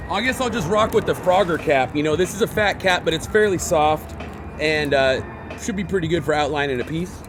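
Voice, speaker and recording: A man hosting a graffiti how-to, his voice hoarse, chatting casually and rambling in slang while he paints outdoors. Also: a little high, moderate pace, over music. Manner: laid-back, rambling, slangy. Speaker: graffiti artist and channel host. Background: hip hop backing beats.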